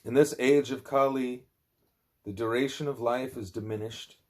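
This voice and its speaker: A man reading a spiritual discourse aloud as an audiobook narrator, with deliberate, measured phrasing. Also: mid-range voice, steady tone. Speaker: audiobook reader.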